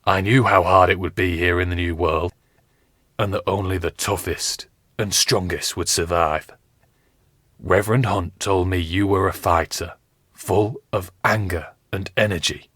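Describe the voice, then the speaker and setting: A man voicing a gravely injured colonial captain who speaks in a strained, hoarse voice, giving slow, weighty pronouncements. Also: deep voice, fairly even intonation, a bit bright, no room sound, clean studio sound, exaggerated voice.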